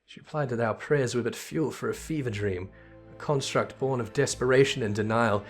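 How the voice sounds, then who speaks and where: A man's voice: medium pitch, normal speed, faint music behind, lightly acted. Male reader as repentant game heretic, solemn, earnest, archaic and formal. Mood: solemn, resigned. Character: solemn, repentant heretic follower in a fantasy war.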